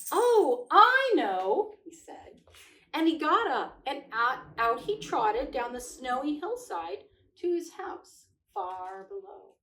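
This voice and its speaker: A middle-aged woman reading aloud to children as a host, with gentle, expressive delivery. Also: sits high, takes it slowly, creaky. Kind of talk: storybook read-aloud with casual chat. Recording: echoey room, distant microphone.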